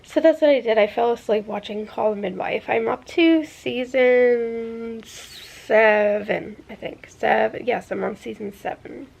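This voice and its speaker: A woman's voice: fairly high pitch, measured pace, gravelly, few pauses, darkish tone. A young woman vlogging casually to her camera, rambling with lots of fillers and sounding congested from a sinus infection. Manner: rambling, many fillers like "like" and "I don't know". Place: in a car, then at home.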